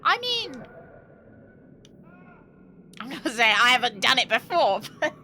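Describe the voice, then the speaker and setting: British woman streamer with very lively pitch. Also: measured pace, a little hiss or hum. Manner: chatty, thinking aloud to viewers. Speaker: live game streamer. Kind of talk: casual chat while playing a game.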